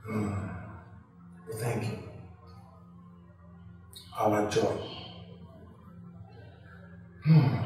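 A man acting as a solemn Nigerian family elder in a film drama, speaking deliberately with halting pauses and questioning hums. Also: medium pitch, creaky, dark tone. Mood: solemn, grateful. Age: middle-aged. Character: solemn Igbo family elder, earnest and insistent. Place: living room. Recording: echoey room, distant mic, faint music.

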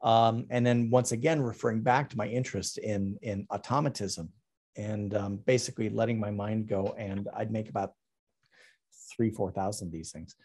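A middle-aged man talking casually about his artwork on a video call, halting with many ums and restarts. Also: on the low side, fairly even intonation, darkish tone, husky. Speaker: artist presenting his work. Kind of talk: casual talk over a slide presentation.

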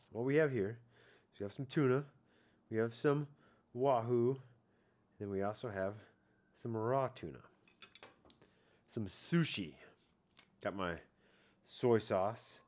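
Casual male outdoors vlogger, thirties, slightly low, easygoing rambling delivery, head-cam on a night patio. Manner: laid-back, rambling, hedging with "I don't know" and "like". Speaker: fishing vlogger. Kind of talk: casual catch-and-cook vlog. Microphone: head-mounted camera.

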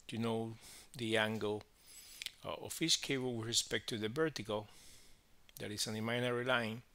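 A man teaching physics, explaining a worked problem step by step in halting phrases with frequent verbal checks. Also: lowish voice, sharp tone.

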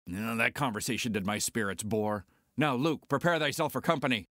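Man acting irritable roguish smuggler, medium pitch, very animated, sardonic, Shakespearean verse. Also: fairly quick, no echo, studio quality. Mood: irritated. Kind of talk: amateur dramatic reading in Shakespearean verse.

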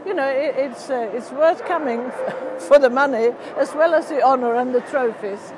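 An older woman from Sweden, an event organiser, interviewed in a large indoor exhibition hall, speaking haltingly with restarts. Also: high voice, darkish tone, a little hiss or hum.

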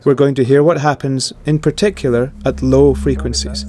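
A middle-aged man calmly explaining, voicing over a translated interview. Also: medium pitch, normal speed.

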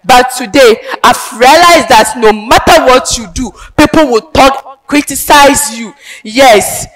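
A young woman with a Ghanaian accent performing a theatrical advocacy monologue on a large indoor stage, emphatic and declamatory with sudden loud swells. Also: high-pitched, slow, rough recording. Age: young adult. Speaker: pageant contestant.

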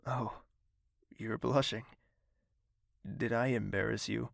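A man playing a mysterious, suave admirer in a romantic fantasy audio drama, gravelly, courtly and flirtatious. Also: on the low side, steady tone, slow, no echo, clean studio sound, full character voice. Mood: gently teasing. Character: mysterious suave male admirer, gentle and flattering.

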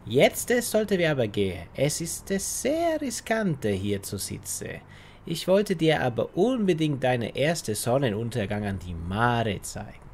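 A man's voice: mid-range voice, very animated, a little slow, darkish tone, slight background noise. An actor playing a courteous, scheming foreign male villain who speaks hesitantly in broken grammar, in a parody audio drama. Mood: cautious.

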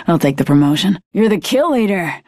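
A woman's voice: lowish voice, expressive, measured pace, darkish tone, dry recording, studio quality. A woman playing a confident, gung-ho soldier in a video game.

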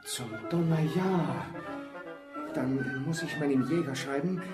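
An actor playing a vain, worried young officer in a German radio play. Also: male voice, on the high side, slow. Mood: agitated. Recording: roomy sound with music behind.

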